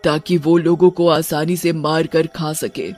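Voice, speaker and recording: Guy doing a cursed old granny witch, high voice, menacing but tender, cartoon horror story, music under it. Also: level tone, unhurried, darkish tone, close to an everyday voice. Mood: regretful. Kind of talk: animated Hindi horror bedtime story.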